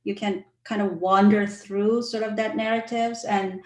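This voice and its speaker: A woman hosting an online talk, moderating questions with soft hedging fillers. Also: medium pitch, flat delivery, a little slow, roomy sound. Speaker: host, moderator.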